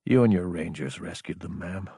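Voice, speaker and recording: Guy narrating as young army officer, anguished and intense, insubordinate, audiobook style, slightly husky, dry sound. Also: deep voice, normal speed, warm tone, no room sound, voice pushed down, full character voice. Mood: resigned, modest. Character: young male military officer, fierce, anguished, self-doubting. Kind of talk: audiobook character acting.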